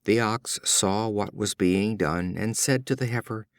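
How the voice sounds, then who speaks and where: A man narrating short fables as an audiobook reader, in a measured, expressive storytelling style. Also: on the low side, clear, resonant tone, dry recording, clean studio sound.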